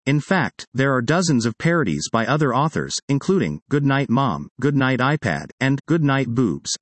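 A man narrating a magazine article aloud, with an expressive read-aloud delivery. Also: mid-range voice, dry recording.

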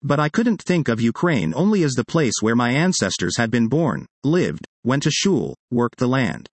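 A man reading a published article aloud in an earnest, measured way, now and then correcting his own pronunciation of place names. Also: medium pitch, sing-song, no echo. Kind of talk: read-aloud article narration.

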